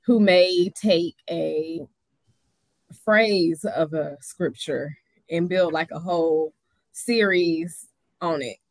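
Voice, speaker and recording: A woman's voice: on the low side, slow, no echo. A woman co-hosting a casual podcast, talking thoughtfully and hesitantly as she searches for words. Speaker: podcast co-host.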